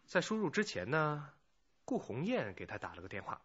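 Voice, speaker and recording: An actor playing an eager, excitable junior police detective who jokes with his boss in a radio drama. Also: a little high, moderate pace, slightly rough, dull audio, heavily acted. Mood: keen, insistent.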